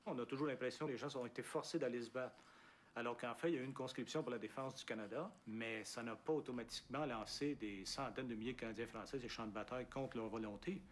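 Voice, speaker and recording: Homme factuel, bruit de fond léger. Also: male voice, medium pitch, quick pace, slight background noise. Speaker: interviewee. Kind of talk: conversational explanation.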